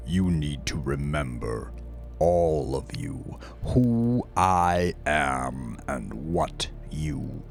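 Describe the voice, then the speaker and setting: A man voicing a menacing, possessive gang leader in a dark audiobook, growling in a theatrical, domineering manner. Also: extremely low pitch, exaggerated voice. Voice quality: growling, gravelly. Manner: slow, domineering, declamatory. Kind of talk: dramatized audiobook villain dialogue.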